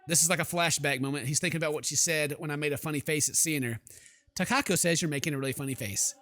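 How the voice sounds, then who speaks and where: A man's voice: a little high, fast talker, pushed and bright, dry recording. A young man hosting a gameplay video, reading story text aloud theatrically and throwing in jokey asides. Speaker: gaming video host. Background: game music.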